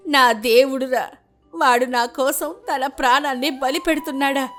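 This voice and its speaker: A woman's voice: sits high, fairly bright tone. A woman voicing an elderly village grandmother, loving and full of grief, in a melodramatic, lamenting storytelling delivery. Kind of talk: melodramatic moral-story voice acting.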